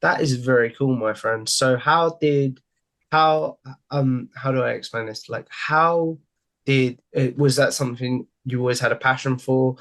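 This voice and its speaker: A man's voice: medium pitch, measured pace, a bit bright. A young man hosts a podcast from his room, talking into a headset on a video call. He asks friendly, loosely built questions and keeps coming back to the same stock phrases. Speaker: podcast host. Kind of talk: casual interview questions. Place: home room.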